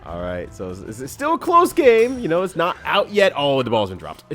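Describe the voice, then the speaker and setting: A young man giving excited, laugh-filled commentary on a video game with friends, reacting with loud exclamations. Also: a little high, moderate pace. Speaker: let's player commentator. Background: game music.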